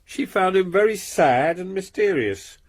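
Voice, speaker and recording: An actor playing a gentle, good-natured husband who works as a servant, with a husky voice. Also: male voice, high-pitched, animated, measured pace. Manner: gentle, affectionate. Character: kindly, good-natured middle-aged male servant.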